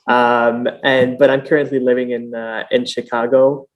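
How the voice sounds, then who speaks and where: A man from Montreal with a French-Canadian accent, a native French speaker, talking casually and haltingly as an interview guest. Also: medium pitch, little pitch movement, muffled recording. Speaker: podcast guest, startup founder.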